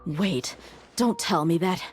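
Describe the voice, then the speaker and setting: A woman voicing a watchful, serious young scout in a video game, speaking cautiously and deliberately. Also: lowish voice, faint music behind. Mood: alarmed.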